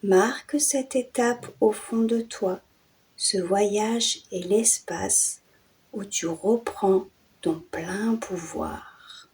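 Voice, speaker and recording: Very slow, hushed woman guiding meditation. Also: medium pitch. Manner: measured, with long pauses between short phrases. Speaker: meditation guide and channel host. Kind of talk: guided yoga nidra and hypnosis meditation.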